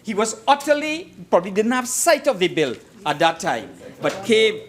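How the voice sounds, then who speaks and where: A man's voice: high voice, sing-song, normal speed. Emphatic Trinidadian man debating in parliament. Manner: emphatic, oratorical, repeats phrases for effect. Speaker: opposition member of parliament. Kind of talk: formal parliamentary debate speech. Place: parliament chamber.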